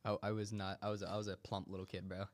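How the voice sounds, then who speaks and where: A man's voice: fairly low pitch, flat delivery, fast. A young man hosting a casual comedy chat, laughing often and rambling with many fillers. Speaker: host.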